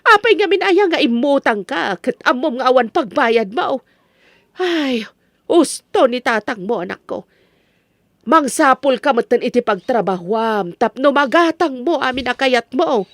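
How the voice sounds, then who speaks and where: High-voiced, gently chiding mother acted by a woman. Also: expressive, unhurried, no room sound, clean studio sound. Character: patient, gently chiding mother of grown son. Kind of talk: Ilocano comedy radio drama acting.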